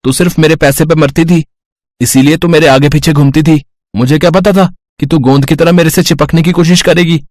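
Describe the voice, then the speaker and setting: A man acts out a cocky, selfish schoolboy boyfriend in an audio drama. He talks casually, by turns wheedling and dismissive, in a fairly high male voice. The recording is dry. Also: fairly even intonation, fairly quick, darkish tone, no echo, natural voice. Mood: scornful. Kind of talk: dramatized Hindi moral story acting.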